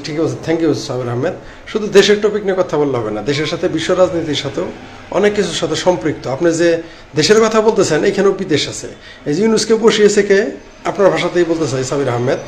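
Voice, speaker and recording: A man in his late fifties with a Bangladeshi accent, giving informal live commentary and answering comments in a sarcastic, rambling, repetitive way into a phone. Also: fairly high pitch. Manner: sarcastic, rambling, repeats phrases. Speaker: live-stream political commentator. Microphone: phone at arm's length. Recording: clearly noisy phone recording.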